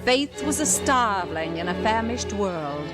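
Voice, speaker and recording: A woman giving grave, deliberate, poetic narration in the style of old-time radio drama. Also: medium pitch, very animated. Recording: old radio recording under loud music.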